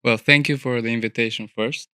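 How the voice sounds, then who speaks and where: A man's voice: mid-range voice, fairly even intonation, a little fast, pushed and bright, clear, resonant tone, no echo, clean studio sound. A young man from Albania, an architecture student, chatting as a podcast guest in a thoughtful way with many fillers and restarts. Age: young adult. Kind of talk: casual interview conversation. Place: studio.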